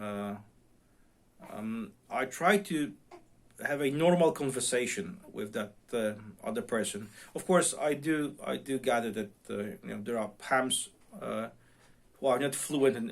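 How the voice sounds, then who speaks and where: A middle-aged man, a self-taught non-native English speaker, talks casually to viewers as a radio hobbyist, often pausing and repeating himself while searching for words. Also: mid-range voice. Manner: halting, searching for words, repeats word starts. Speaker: amateur radio vlogger. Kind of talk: casual unscripted talk to viewers. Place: home radio room.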